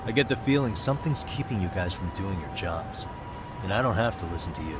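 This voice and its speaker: An actor playing a dogged, righteous private detective in a video game dub, wry and challenging. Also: male voice, sits low, animated, a little fast, background noise.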